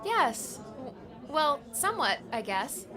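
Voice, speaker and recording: A woman's voice: high voice, sing-song, slow, a crowd in the background, full character voice. A woman playing a polite, self-effacing young would-be journalist, speaking candidly in an audiobook drama. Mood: wistful, rueful.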